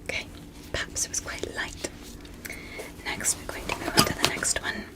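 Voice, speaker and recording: A woman whispering close to the microphone in a gentle, careful ASMR roleplay as a polite, curious alien medical examiner. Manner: gentle, slow, careful step-by-step explaining.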